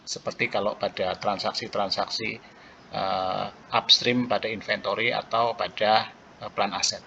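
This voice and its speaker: A man giving an explanatory lecture in a halting way, pausing mid-phrase, on a muffled recording. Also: lowish voice, little pitch movement, gravelly, sharp tone, a little hiss or hum. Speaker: accounting lecturer. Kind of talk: explanatory lecture walking through worked journal entries.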